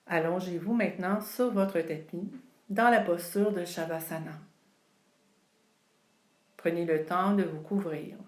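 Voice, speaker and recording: Very slow, low-voiced woman guiding relaxation. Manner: calm, unhurried, soothing delivery with long pauses. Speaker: yoga nidra instructor. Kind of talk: guided relaxation meditation. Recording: roomy, echoing sound.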